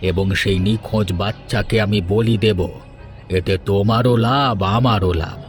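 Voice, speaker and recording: A man playing a sinister, greedy holy man in a cartoon fairy tale, speaking in a slow, calculating, menacing way. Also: on the low side, soft background music, close to an everyday voice. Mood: coldly scheming. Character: sinister, greedy male holy man who sacrifices children.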